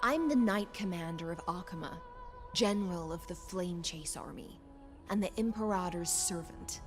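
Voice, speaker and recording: A woman's voice: fairly low pitch, close to an everyday voice. A woman voicing a loyal, humble and ceremonious knight commander in a video game. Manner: formal, ceremonious. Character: loyal, formal female knight commander, humble servant of an empress. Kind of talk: scripted video game voice acting.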